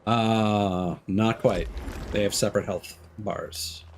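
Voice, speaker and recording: A man's voice: fairly low pitch, fairly even intonation, slow pace, background noise. A man streaming a video game, casually thinking aloud and hesitating with fillers as he plays. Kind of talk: casual gameplay commentary.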